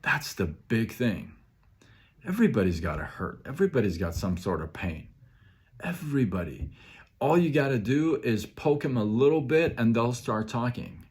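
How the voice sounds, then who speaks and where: A man's voice: medium pitch, sing-song, normal speed, a little mellow, breathy edge. A man hosting a motivational live show, speaking emphatically with repeated phrases and an occasional stutter, greeting viewers by name. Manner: stutters at times.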